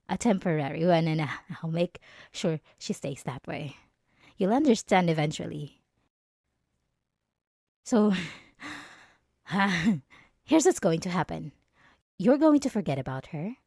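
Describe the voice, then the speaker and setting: A jealous, possessive young female bully played by a woman in an intimate ASMR roleplay. Her pitch swings widely as she taunts and threatens, moving between softness and agitation. The recording is very dry and close. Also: no room sound, exaggerated voice. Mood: cold, possessive.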